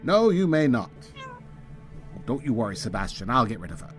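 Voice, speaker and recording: Very low, wary old recluse. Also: female voice, big pitch swings, normal speed. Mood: firm, annoyed. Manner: hesitant, stammering, defensive. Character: wary, evasive elderly person living with a cat. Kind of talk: audio drama acting. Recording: music behind the voice.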